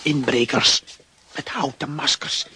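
A man's voice: mid-range voice, fairly even intonation, takes it slowly, noisy background, close to an everyday voice. A man voicing a melancholy male dog who is a stowaway sleuth, speaking in a theatrical, self-pitying inner monologue in a children's radio play. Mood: sly, sniffing.

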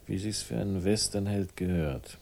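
A man's voice: sits low, moderate pace, gravelly, a little mellow. An actor playing a world-weary, sardonic man with a troubled past, speaking tersely in a radio crime drama. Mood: wry, resigned. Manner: terse, fragmented, wry. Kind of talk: 1960s German radio crime drama acting.

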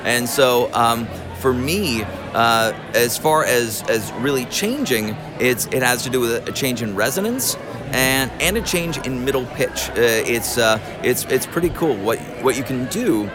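A man's voice: mid-range voice, lively intonation, a little slow. A man who coaches voice acting, giving casual explanatory answers in an interview. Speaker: voice actor and coach. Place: convention. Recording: music playing behind the voice.

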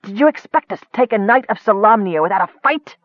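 A man's voice: sits high, lively intonation, quick pace, no echo, voice pushed up. A man voicing a bitter, greedy male goblin chief who sneers and hisses his demands. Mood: sneering. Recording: tinny phone-like dry sound.

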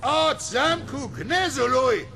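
Shrill, creaky terrified old serf. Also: male voice, lively intonation, slow, full character voice. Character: terrified old serf, superstitious village elder. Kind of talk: radio drama acting. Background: music.

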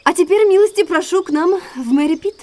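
High-voiced, anxious, refined lady. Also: fairly quick, mellow. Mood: guarded politeness. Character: Beryl, elegant, anxious, secretive naturalist's sister. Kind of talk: audio drama acting.

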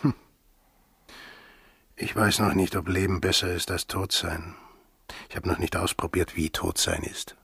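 An actor playing a brooding, sardonic, defiant sailor in a radio drama, speaking tersely in a husky, gravelly voice. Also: male voice, sits low, steady tone, unhurried, long pauses, pushed and bright, no room sound, clean studio sound, full character voice. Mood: brooding, resolute.

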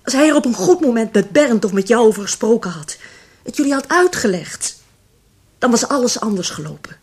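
A woman playing an anxious, insistent middle-aged mother in a radio drama, speaking haltingly and with feeling. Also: on the high side, animated, a little mellow, slightly rough. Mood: anguished. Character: anxious middle-aged mother defending her troubled son. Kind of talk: Dutch radio drama acting.

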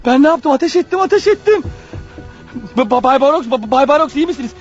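An actor playing a panicky, guilt-ridden clerk turned killer in a radio play, stammering and talking nervously to himself. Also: male voice, very high-pitched, animated, fast, lo-fi sound, soft background music. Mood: horrified, panicking. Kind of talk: radio theatre acting.

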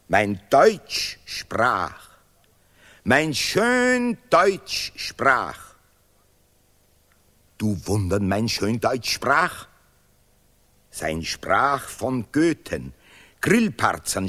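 An actor playing a pompous, self-praising history professor who declaims in deliberately broken German, in a stylised radio play. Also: male voice, medium pitch, huge pitch swings, pushed and bright, full character voice. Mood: solemn self-praise. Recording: reverberant hall-like studio sound.

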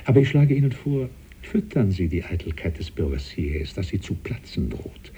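An actor playing a suave, cunning statesman and former bishop in a German radio play, silky, deliberate and sardonic. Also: deep voice, sing-song, mellow, slightly rough, exaggerated voice. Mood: smoothly persuasive.

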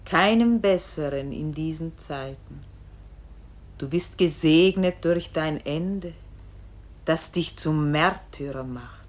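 An actress playing a solemn, gentle celestial voice that guides a soul, speaking in a measured, exalted, incantatory way in a radio play. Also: female voice, sits low. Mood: solemn, consoling. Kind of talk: solemn classic German radio play acting.

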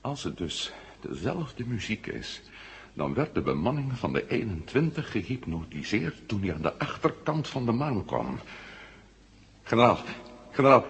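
An actor playing an intense, sharp-minded investigator who thinks aloud deliberately in a vintage science fiction radio drama. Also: male voice, fairly low pitch, very animated, husky, heavily acted. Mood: urgent. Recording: noisy vintage 1970 radio recording.

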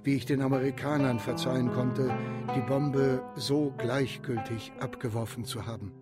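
A man's voice: medium pitch, steady tone, normal speed, over music, natural voice. An actor playing a grave, bitter man who recalls his wartime childhood, narrating a radio drama. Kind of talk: scripted first-person dramatic narration.

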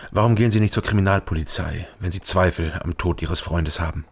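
A man's voice: low-pitched, fairly quick, slightly rough. An actor playing a laconic East German ex-policeman and private detective in a radio crime drama, terse and matter-of-fact. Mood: guarded. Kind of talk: radio crime drama, first-person narration and dialogue. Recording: music behind the voice.

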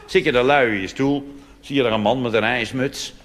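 A man's voice: mid-range voice, steady tone, a little fast, a bit bright. A Dutch man performing a chatty, wry cabaret monologue full of asides, recorded live on stage. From Netherlands. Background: audience laughter and applause.

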